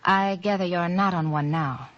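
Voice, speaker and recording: Woman as refined, secretive wife in a vintage radio mystery; slightly low voice, hesitant and nervous. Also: moderate pace, muffled recording, lightly acted. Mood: anxious, pleading. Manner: hesitant, breaks off and restarts nervously. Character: elegant married woman, anxious and secretive, seeking help. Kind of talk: old-time radio drama acting.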